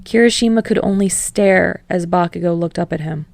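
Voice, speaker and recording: Woman, lowish voice, gravelly fry, dry close sound, scripted fiction narration. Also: normal speed, darkish tone, no echo. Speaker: audiobook narrator.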